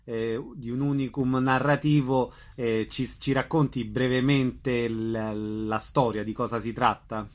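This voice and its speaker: A man's voice: mid-range voice, fairly even intonation, unhurried, few pauses. A man interviewing a guest in a conversational radio style.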